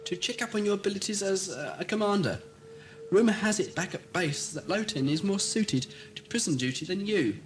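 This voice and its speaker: A man's voice: high-pitched, lively intonation, a little fast, over music. A man playing an eccentric, knowing time-traveller in a fan audio drama, wry and articulate, with a slightly hesitant, glib manner. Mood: glib bluffing. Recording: old cassette, sound bed behind.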